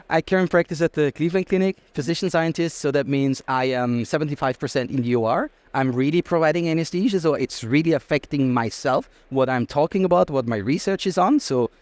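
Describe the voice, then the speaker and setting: A man's voice: a little high, expressive, fairly quick, hardly any pauses, darkish tone, no echo. A man from Austria with an Austrian accent, a native German speaker, explaining things emphatically as an interview guest. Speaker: interview guest, anesthesiologist and researcher. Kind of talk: conversational expert interview.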